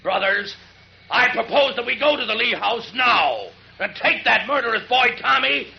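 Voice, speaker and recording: Hateful hooded gang leader, gravelly high voice, old radio drama rant. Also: male voice, lively intonation, moderate pace, a little hiss or hum, exaggerated voice. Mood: furious, rousing. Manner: venomous, ranting oratory. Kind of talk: 1940s radio acting. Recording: dull lo-fi 1940s radio recording.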